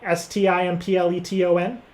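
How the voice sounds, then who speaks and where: A man's voice: high-pitched, level tone, brisk. Hesitant, rambling male prank caller. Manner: hesitant, rambling, feigned earnestness. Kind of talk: improvised phone prank chat.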